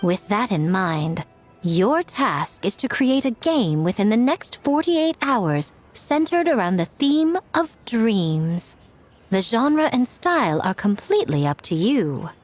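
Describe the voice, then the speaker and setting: Playful, theatrical shrine priestess for a game: animated mid-pitched voice, knowing, self-assured, faint music. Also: female voice, slow pace. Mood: poised, ceremonial. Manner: teasing, poised, theatrical. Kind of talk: video game voice acting.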